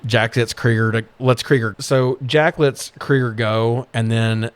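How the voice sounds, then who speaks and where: A man's voice: mid-range voice, fairly even intonation, measured pace, smooth. A man co-hosting a podcast, casually recapping a movie with profane, exasperated sarcasm. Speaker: podcast co-host.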